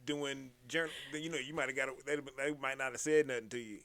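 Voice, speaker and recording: A man's voice: mid-range voice, steady tone, brisk, fairly bright tone. A man in casual chat, joking and speaking with emphasis.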